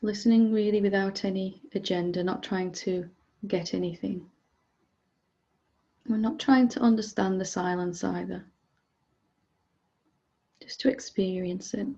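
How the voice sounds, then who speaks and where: A woman leading a guided meditation as a teacher, speaking gently and calmly with unhurried pauses, softly giving instructions. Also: mid-range voice, gravelly, dull audio.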